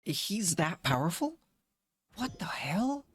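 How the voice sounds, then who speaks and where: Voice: mid-range voice, sing-song, takes it slowly, a bit bright, breathy edge. A game streamer giving casual live commentary, reacting in muttered asides. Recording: game music behind the voice.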